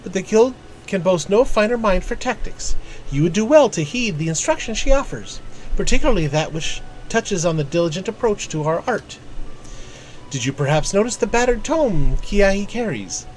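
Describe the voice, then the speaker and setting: A man voicing a courteous, formal guild official from a video game, with old-fashioned phrasing and measured counsel. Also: high-pitched, expressive, slight background noise, voice pushed up, rough recording. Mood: courteous, businesslike. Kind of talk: video game dialogue read aloud.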